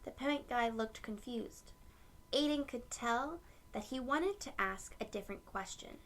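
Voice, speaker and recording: A woman with a fairly high voice and a noticeable creak reads a children's story aloud. She phrases things gently and simply, speaking to young listeners as she goes. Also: lively intonation, measured pace, slight background noise. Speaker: storyteller reading a picture book aloud to children. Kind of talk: children's read-aloud narration with asides to listeners.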